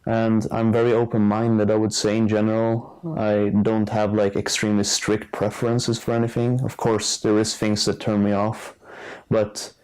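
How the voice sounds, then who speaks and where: A man's voice: fairly low pitch, normal speed, clear, resonant tone, low-quality recording. A young man from Sweden, a student, answering interview questions frankly and a little hesitantly. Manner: relaxed, frank, hesitant with fillers.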